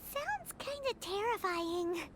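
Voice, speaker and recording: Tiny excitable fairy girl for a game: very high, squeaky, swooping delivery. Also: takes it slowly, noisy background, heavily acted. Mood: wary. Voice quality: squeaky, childlike. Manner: exclamatory, speaks of herself in third person. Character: small childlike female fairy companion, excitable, cautious. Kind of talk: video game voice acting.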